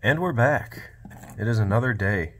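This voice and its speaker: A man with a lowish voice talks through a repair job in a quiet, low-key, fairly monotone way. It sounds like he's recording on his phone while working at the bench, with a casual, unhurried workshop manner. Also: a little hiss or hum. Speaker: small-engine repair vlogger. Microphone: cell phone.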